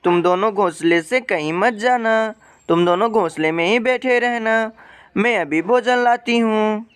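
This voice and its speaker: Man acting as sorrowful cartoon mother bird, high voice, plaintive, tender, clear and smooth. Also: measured pace. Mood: soothing. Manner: plaintive, lamenting. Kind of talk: cartoon moral story acting.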